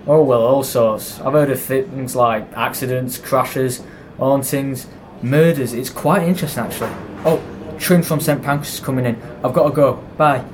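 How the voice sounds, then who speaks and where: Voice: medium pitch, steady tone, normal speed, close to an everyday voice. A performer playing a gossipy, grumbling male railway worker in an amateur audio drama. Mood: gossipy, uneasy. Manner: colloquial, conversational. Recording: faint background noise and ambience.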